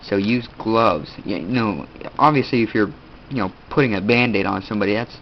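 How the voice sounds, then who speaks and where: A teenage boy with a stuffed-up nose, casually showing his kit and rambling with lots of fillers. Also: on the low side. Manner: rambling, halting, many fillers. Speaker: video host. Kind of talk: casual gear show-and-tell. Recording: dull lo-fi audio, faint room noise.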